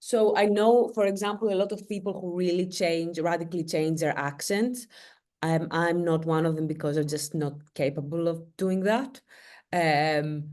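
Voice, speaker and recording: A woman from Greece with a Greek accent in a casual group discussion over a video call, thoughtful, with halting starts to her sentences. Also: lowish voice, fairly even intonation, a little mellow.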